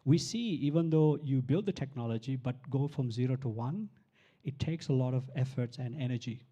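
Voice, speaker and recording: A man with a very dark, mellow voice presents his tech project at a live event. He explains step by step in plain terms, often pausing on fillers and restarting his sentences. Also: medium pitch, dull audio. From Sri Lanka. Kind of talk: live conference talk.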